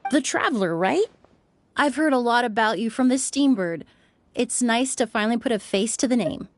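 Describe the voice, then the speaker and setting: A woman voicing a polite, composed young perfumer in a video game, explaining things in a measured, courteous way. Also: on the high side, expressive, natural voice. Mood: polite, pleasant.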